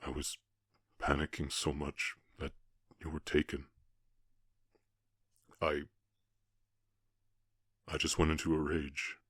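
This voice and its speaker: A man voicing a gruff but tender half-orc cowboy in an ASMR roleplay, ashamed and protective, speaking haltingly with feeling and often dropping to a murmur. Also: rumbling low, level tone, a bit bright, no echo, studio quality, exaggerated voice. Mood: shaken, relieved.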